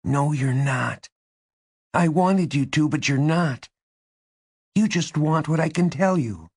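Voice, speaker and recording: A man voicing a battered, bitter and defiant teenage girl in an audiobook, with a strained rasp. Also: on the high side, takes it slowly, no echo, studio quality, voice pushed up, full character voice. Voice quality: strained, rasping when angry.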